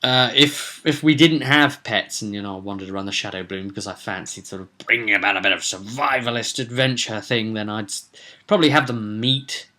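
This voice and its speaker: A man talking informally as a game master in a vlog, in a rambling, digressive way with halting self-corrections. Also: on the low side, pushed and bright. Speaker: game master recapping his roleplaying sessions.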